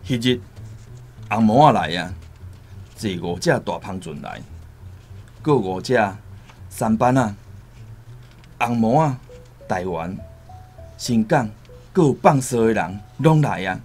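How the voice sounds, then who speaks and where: An actor playing a bitter, vengeful island survivor in a radio drama, grave and halting, with a Taiwanese accent. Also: male voice, fairly low pitch, expressive, a little mellow, husky, heavily acted. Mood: bitter, grieving. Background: faint guitar music.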